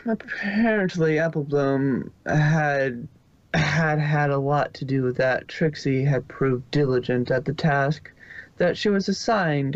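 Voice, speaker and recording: A man's voice: fairly high pitch, dry recording, dull audio. A man narrating a fan fiction story in an amateur reading.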